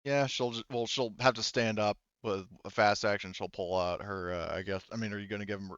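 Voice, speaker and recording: Low, smooth-voiced male game master. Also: fairly even intonation, a little fast, fairly bright tone, no echo, dull audio. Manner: swears casually. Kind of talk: casual narration of a tabletop game.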